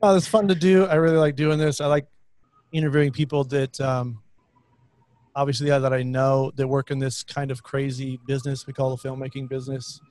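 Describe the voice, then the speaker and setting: A man's voice: mid-range voice, flat delivery, a little fast, darkish tone, dry recording. A man hosting a podcast interview, chatty and rambling, circling around his questions with many restarts. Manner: rambling, lots of fillers and restarts. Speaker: podcast host. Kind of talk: casual interview.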